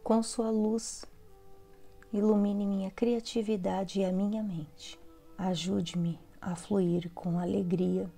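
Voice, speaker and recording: Very slow, soft Brazilian woman leading meditation. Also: on the low side, gravelly. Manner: measured, pausing between short phrases. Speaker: guided meditation leader. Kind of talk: guided meditation prayer, slow and devotional. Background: soft background music.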